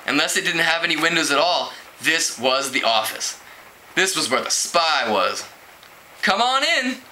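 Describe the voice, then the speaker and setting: A man reading a teen novel aloud in an expressive way, as an amateur reader would at home. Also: normal speed. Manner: expressive, acts out dialogue with pitch jumps. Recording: clearly noisy home recording.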